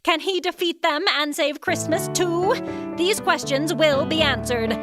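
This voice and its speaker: A woman's voice: high voice, a little slow, over music. A woman playing a theatrical, suspenseful narrator of an old-time style radio adventure serial.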